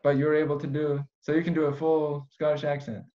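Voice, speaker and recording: A man's voice: on the high side, monotone, quick pace, a crowd in the background. A man hosting a casual conversational podcast, rambling with playful, mock-serious asides. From Iran. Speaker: podcast host. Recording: dull, lo-fi podcast audio.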